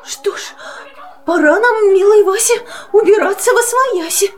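A woman's voice: very high-pitched, a little slow. A woman playing a genteel, homeless cat who pleads and coaxes theatrically in a children's verse audio play. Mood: sorrowful. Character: genteel, homeless female cat, plaintive and coaxing.

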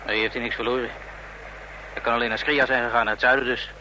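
A wry police lookout in an old radio play. He makes sceptical, observant remarks in a medium-pitched voice over clear background noise. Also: little pitch movement, fast, sharp tone. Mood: watchful, sceptical. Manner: dry, observant running remarks. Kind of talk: radio drama acting. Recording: noisy old radio play, outdoor ambience.

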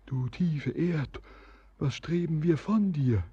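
Brooding visionary sailor for radio play. Very raspy, soft-edged tone, solemn declamation, dull recording. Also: male voice, fairly high pitch, slow pace, heavily acted. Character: brooding, visionary young sailor, yearning and melancholy. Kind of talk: verse radio drama acting.

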